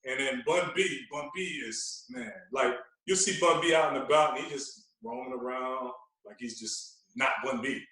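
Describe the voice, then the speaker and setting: Low-voiced man, echoey and far from mic. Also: moderate pace, few pauses, pushed and bright. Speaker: podcast guest, veteran radio host. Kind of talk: casual chat, storytelling. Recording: echoey room, distant from mic.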